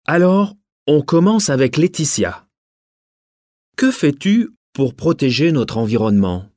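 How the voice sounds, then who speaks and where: A man presenting a recorded listening exam. He reads at a slow, deliberate pace with plenty of pitch movement. The sound is dry and close. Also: big pitch swings, darkish tone, dry recording. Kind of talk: scripted exam narration.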